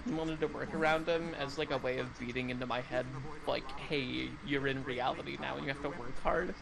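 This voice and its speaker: A woman's voice: extremely low pitch, fairly even intonation, a little fast, creaky, a crowd in the background, poor audio. A woman in casual chat with friends, talking frankly and swearing freely. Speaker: guest.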